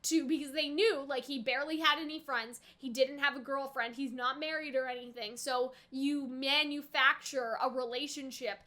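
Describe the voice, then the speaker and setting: Young woman, very bright high voice, casual reaction host, slangy, speculative, rhetorical questions. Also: fairly even intonation, normal speed, hardly any pauses. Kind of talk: casual anime reaction commentary.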